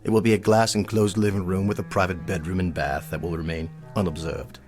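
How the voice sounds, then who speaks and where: An actor playing a haughty, wealthy colonel in an audio drama, speaking in a measured, formal, pompous way. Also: male voice, low voice, steady tone, a little mellow, soft background music. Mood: measured, commanding. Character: haughty, wealthy club colonel, stern and exacting.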